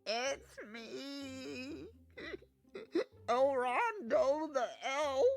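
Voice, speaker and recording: A man's voice: extremely high pitch, expressive, extremely slow, creaky, soft background music, voice pushed up, full character voice. A man voicing a tiny, melodramatic, weepy male elf for a comic children's story, wailing and whimpering with a cracking voice. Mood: tearful wailing. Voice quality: cracking, tearful. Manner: wailing, whimpering, sniffly, overdramatic.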